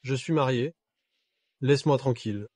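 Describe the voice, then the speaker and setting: An actor as a coldly possessive, sardonic husband. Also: male voice, medium pitch, moderate pace, a little mellow, no echo, natural voice. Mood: cold, dismissive. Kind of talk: dubbed melodrama acting.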